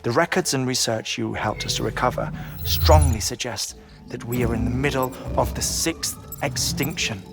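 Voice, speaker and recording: Male actor as game scientist: measured, deliberate lecturer with formal diction and a mid-pitched voice, music underneath. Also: natural voice. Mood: measured, explanatory. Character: erudite, theorising scientist man, measured and deliberate. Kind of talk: dramatic video game cutscene dialogue. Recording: music behind the voice.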